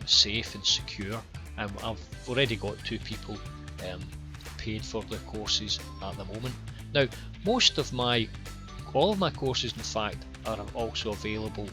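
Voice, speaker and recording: A Scottish man guiding viewers through his online course with plain, unhurried explanations and a few ums and repeated words. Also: fairly low pitch. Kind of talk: screen-recorded course walkthrough.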